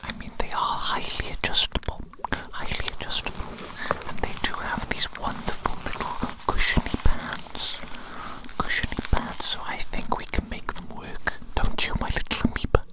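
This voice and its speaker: A woman playing a doting, eccentric evil doctor in a whispered ASMR roleplay, breathy, fussy and affectionate, repeating phrases slowly. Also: natural voice, rough recording. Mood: fussy, reassuring.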